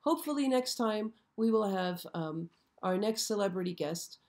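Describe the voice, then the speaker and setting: A hoarse woman hosting a casual live stream, chatty and often checking in with her viewers. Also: mid-range voice, expressive, normal speed, darkish tone. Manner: chatty, frequently checks in with "okay?". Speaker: show host. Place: show set.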